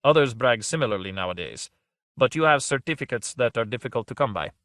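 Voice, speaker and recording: A man voicing a calm male agent with a quiet, deliberate way of speaking. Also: mid-range voice, dry recording, clean studio sound.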